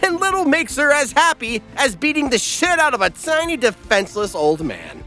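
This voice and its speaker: A man's voice: extremely high pitch, huge pitch swings, normal speed, bright, forceful tone, faint music behind. A man delivering flippant, irreverent comedic scripted recap narration.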